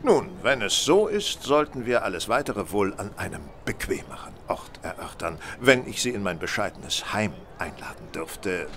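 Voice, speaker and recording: An actor playing a shrewd, self-assured gentleman detective in an audio drama, polite and measured in manner. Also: on the low side, very animated, a little hiss or hum. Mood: courteous, confident. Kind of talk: German audio drama acting.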